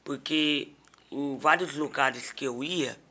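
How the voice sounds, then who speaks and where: A man's voice: medium pitch, slow pace, pushed and bright. A man with a Brazilian accent telling personal stories in a casual podcast conversation as a pastor. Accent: Brazilian Portuguese.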